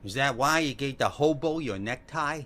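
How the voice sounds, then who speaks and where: An actor playing a gruff, sarcastic, easily exasperated police lieutenant in an old-time radio drama. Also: male voice, mid-range voice, animated, normal speed, fairly bright tone, natural voice. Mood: incredulous. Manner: sarcastic, incredulous, blustering.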